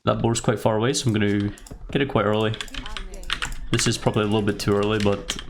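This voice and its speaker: A man with a fairly low voice talks through a strategy game step by step in a casual tutorial style. He sounds congested from a cold. The recording is clearly noisy, with keyboard typing and clicks behind him. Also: flat delivery, fast talker. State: stuffy nose from a cold. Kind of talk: casual step-by-step gameplay commentary. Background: keyboard typing and mouse clicks.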